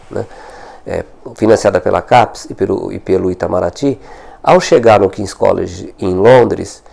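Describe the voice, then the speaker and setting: An older man, a historian, answering interview questions in a thoughtful way, often pausing and correcting himself as he searches for words. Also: on the low side, vocal fry, mellow. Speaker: historian guest.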